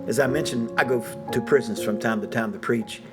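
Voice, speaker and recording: An older man telling a personal story in a folksy, animated way, laughing often and repeating "you know what I'm saying.". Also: medium pitch, brisk. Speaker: storyteller, preacher. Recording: music bed underneath.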